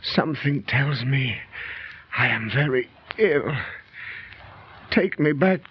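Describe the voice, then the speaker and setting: An actor playing a defiant British lord and archaeologist in a theatrical, declaiming 1930s radio style. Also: male voice, mid-range voice, expressive, slow pace, a little hiss or hum. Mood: weak, dying. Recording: muffled 1930s radio recording.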